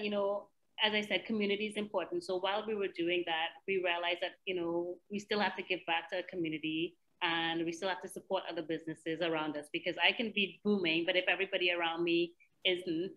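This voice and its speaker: A woman's voice: fairly low pitch, a bit bright, rough recording. A middle-aged woman from Trinidad and Tobago, giving a chatty, self-deprecating business presentation. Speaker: guest speaker, spa owner.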